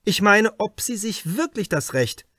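A man's voice: high voice, sing-song, unhurried, sharp tone, no room sound, pitched above the performer's own voice, exaggerated voice. A male reader plays a shrewd detective from a crime audiobook. He speaks formally and insistently, in a raised, emphatic voice, recorded dry and close. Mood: accusing, forceful. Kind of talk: audiobook character dialogue.

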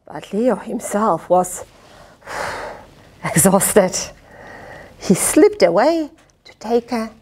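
Lady doing a kids read-aloud, super lively up and down voice, slow pace, dramatic with little asides. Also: medium pitch, breathy edge. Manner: expressive, dramatic, with gasps, sighs and questions to the listener. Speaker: storyteller reading a picture book aloud. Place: indoors, small fabric tent with cushions. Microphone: lapel.

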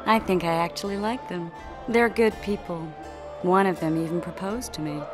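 Female fantasy game companion, played by an actress: lowish pitch, dry, caring, music underneath. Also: moderate pace, natural voice. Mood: wry, teasing. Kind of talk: video game character acting.

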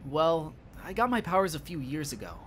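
A woman's voice: sits low, sing-song, a little fast, a little hiss or hum. A woman playing an eager, level-headed young rookie superhero in a comic dub, over music. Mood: casual. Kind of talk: acted comic dub dialogue. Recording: prominent music behind the voice.

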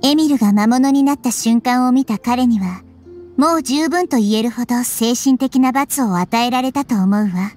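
A woman voicing a wise, childlike young goddess in an anime game, calm, measured and gently authoritative. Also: fairly high pitch, lightly acted. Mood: composed, stern. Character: wise, composed childlike little goddess girl. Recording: music under the voice.

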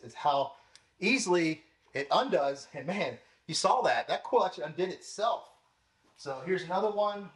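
A young man hosting a car-care channel, casually explaining as he works with his hands in a home garage, talking to a camera mic while he moves around. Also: a little high. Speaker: car detailing channel host. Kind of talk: casual product demo. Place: home garage with tiled floor.

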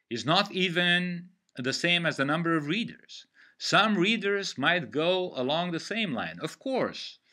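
An older man from Romania with a Romanian accent, giving an online literature lecture over a headset on a video call from home, in a measured, deliberate way. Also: on the high side, bright, forceful tone. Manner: measured, deliberate explaining with pauses. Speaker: literature teacher. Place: home room.

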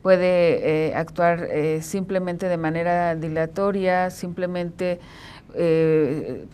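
A middle-aged woman with a Mexican accent giving halting, measured answers as a guest in a studio interview. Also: fairly low pitch, creaky, darkish tone.

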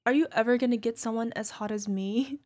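A woman's voice: on the high side, a little mellow, close to an everyday voice. A woman acting as a toxic, gaslighting young girlfriend in a realistic ASMR roleplay, dismissive and exasperated. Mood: smug, mocking. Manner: exasperated sighs, scornful, halting defensive delivery. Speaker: voice actor.

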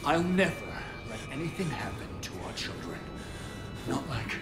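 An actor playing a deranged killer and would-be bridegroom in a video game, courtly and crooning with a menacing gentleness. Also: male voice, medium pitch, sing-song, normal speed, loud music behind the voice, close to an everyday voice. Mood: pleading, wistful. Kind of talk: dramatic video game acting.

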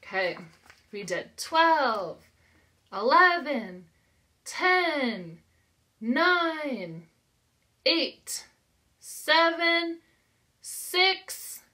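A woman's voice: high voice, big pitch swings, drawn-out words, pauses often, bright, forceful tone. An American woman in her thirties, a preschool teacher reading aloud to young children with simple, encouraging phrasing and questions. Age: 30s. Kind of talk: interactive read-aloud lesson for young children.

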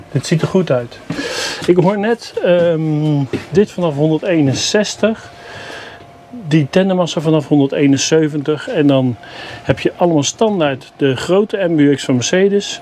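A fairly high-voiced man talks his way through a motorhome, enthusiastic but always finding something to grumble about. The camera audio carries a clear hiss of background noise. He sounds relaxed and conversational, as if speaking to his regular viewers. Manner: chatty, enthusiastic, mildly grumbling. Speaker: vlogger reviewing campers. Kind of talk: casual walkthrough vlog. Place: inside a motorhome at a product show.

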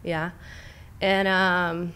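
Guest, young woman from Southern California, slightly low voice, chatty and open with lots of "um" and "like". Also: little pitch movement, extremely slow, sharp tone, slight background noise. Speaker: clinical director, therapist, guest. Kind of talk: casual interview, personal story. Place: studio with brick wall. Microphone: studio mic on boom arm, headphones.

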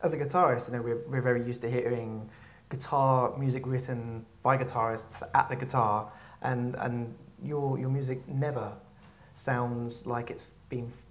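A man's voice: medium pitch, slight background noise. A man in his thirties asking informal interview questions in a tentative, searching way. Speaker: interviewer, guitarist. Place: small hall, on a stage at a table.

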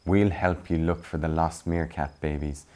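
A wise old meerkat speaks in a low, soft and unhurried voice, as a man reads him aloud in a storybook. He sounds calm and kindly, steady as an elder. The tone is quiet and warm. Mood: gentle. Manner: calm, slow, comforting. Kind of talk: storybook reading.

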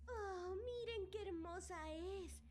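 A woman voicing a solemn, dramatic occult-loving cat girl in a cartoon fan dub, with drawn-out incantations. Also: very high-pitched, darkish tone, voice pushed up.

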